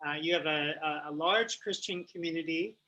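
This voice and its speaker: A man's voice: on the high side, normal speed, sharp tone, slight background noise, low-quality recording. A man hosting an interview show who speaks in a friendly, upbeat way and addresses the audience directly. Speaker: host, spokesperson.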